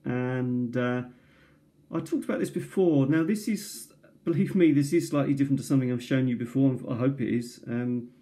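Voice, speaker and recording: A man with a mellow, soft-edged voice talks casually while showing off cassette tapes at his kitchen table. He hesitates often, filling gaps with ums and restarting his sentences. The sound comes from a tablet's built-in mic. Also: medium pitch, moderate pace. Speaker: cassette tape collector. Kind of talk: casual show-and-tell. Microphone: tablet camera mic.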